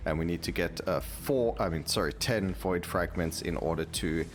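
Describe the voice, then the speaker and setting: A man with a deep, low voice gives casual video game commentary. He talks informally as a creator narrating his play, with faint music behind him. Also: moderate pace. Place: voice-over recorded over game audio.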